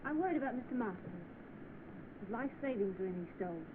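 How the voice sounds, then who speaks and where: A woman's voice: mid-range voice, lively intonation, fairly quick, lightly acted. An actress playing a kind, practical young office woman in an old film drama, composed and considerate. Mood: worried, frank. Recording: tinny, noisy old film soundtrack.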